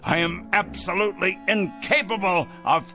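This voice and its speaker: एक अभिनेता एक घमंडी, खुद को धर्मी मानने वाले अधेड़ उम्र के सनकी आदमी की भूमिका निभा रहा है, जो पुराने टीवी नाटक की रिकॉर्डिंग में हल्के संगीत के साथ है।. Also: male voice, high voice, normal speed. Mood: sanctimonious. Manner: pompous, sanctimonious, lively. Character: self-righteous middle-aged male letter-writing crank. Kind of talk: 1960s TV drama acting. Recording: old TV soundtrack with faint music.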